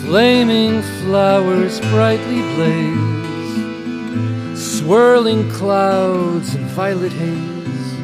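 A woman singing a folk ballad, accompanied by plucked guitar. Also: on the low side. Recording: music recording with plucked guitar. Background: acoustic guitar accompaniment.

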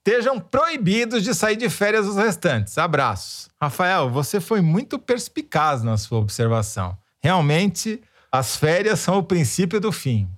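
Brazilian guy co-hosting, reads listener notes, wry and measured. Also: high voice, very animated, fairly bright tone. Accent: Brazilian Portuguese. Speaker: podcast co-host.

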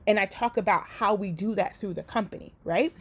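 A woman from Liberia giving casual career coaching, talking conversationally with frequent "right?" and quick restarts. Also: medium pitch, slightly rough. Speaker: career coach and company founder. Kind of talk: casual coaching talk to an audience.

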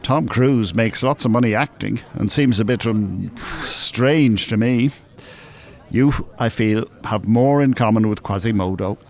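A man's voice: on the low side, a little slow, a little mellow. An actor playing a wry, worldly, cynical older man with an Irish accent in a naturalistic radio drama. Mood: cynical, explaining. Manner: dry, teasing, opinionated.

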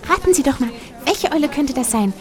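An actress playing an eager, chatty small-town hostess with a soft spot for owls, in a German audio drama. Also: female voice, high-pitched, animated, brisk. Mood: eager, dreamy.

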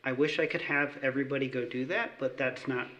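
A male church member sharing a personal testimony, halting and sincere. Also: mid-range voice, fairly even intonation, a bit bright, lo-fi sound, slight background noise.

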